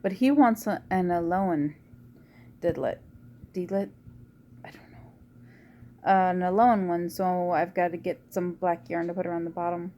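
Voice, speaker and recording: A woman with a quiet, low voice chatting casually in a rambling home vlog. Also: fairly even intonation, normal speed, pauses often, slight background noise. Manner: rambling, soft-spoken, uses y'all. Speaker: vlogger. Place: at home indoors.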